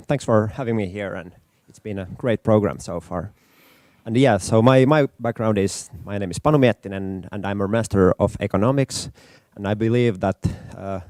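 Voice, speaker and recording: Low mellow man, startup co-founder, relaxed, dry sound. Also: no room sound. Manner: relaxed, repeats words. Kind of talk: panel talk. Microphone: handheld.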